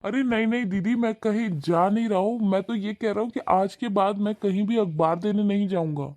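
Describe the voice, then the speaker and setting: A man's voice: sits high, fairly quick, darkish tone, dry recording, natural voice. A man voicing a humble, hardworking young male newspaper seller in a cartoon, talking politely and deferentially. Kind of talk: cartoon voice acting.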